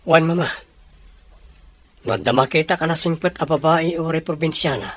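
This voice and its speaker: A man acting an earnest young Filipino bachelor, disillusioned in love, in a radio drama. His voice is husky and fairly high for a man. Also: normal speed, close to an everyday voice. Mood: earnest, resolute. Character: disillusioned rich young bachelor son seeking true love.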